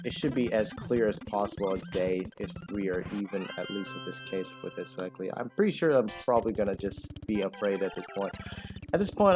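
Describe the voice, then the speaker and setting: A man giving casual, rambling commentary over his own gameplay, full of fillers and restarts, with a loud voice. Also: on the low side. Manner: rambling, filler-heavy, self-correcting. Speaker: gaming channel host. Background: game music.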